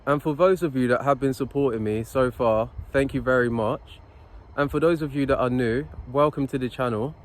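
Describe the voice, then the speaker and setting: A man's voice: medium pitch, normal speed. A young man talking to his phone camera on a city street, giving unscripted self-help advice in an earnest, repetitive, preachy way. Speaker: motivational vlogger.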